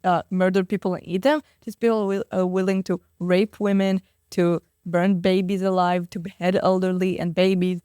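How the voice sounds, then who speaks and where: A woman's voice: lowish voice, flat delivery, moderate pace, few pauses, mellow, clean tone, no room sound, studio quality. A teenage girl, fifteen, as an interview guest, arguing outspokenly with emphatic rhetorical questions. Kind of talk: conversational interview, impassioned argument.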